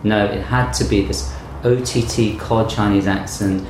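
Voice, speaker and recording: A man's voice: on the low side, fairly even intonation, unhurried. A middle-aged man, a theatre director, talking in a thoughtful, reflective storytelling way during an interview. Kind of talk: interview conversation. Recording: echoey room with faint background noise.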